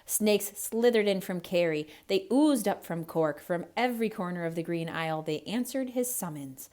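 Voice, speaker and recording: A woman reading a children's story aloud in a theatrical, expressive way with emphatic delivery. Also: lowish voice, normal speed. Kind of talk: theatrical read-aloud narration.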